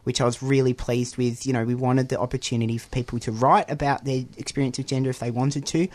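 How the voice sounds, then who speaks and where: A man guesting on a radio interview, talking loosely and warmly about his book. His voice sits at an ordinary middle pitch for a man, and he drops in lots of "you know" and small laughs as he goes. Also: steady tone, fast. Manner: chatty, with many fillers and laughs.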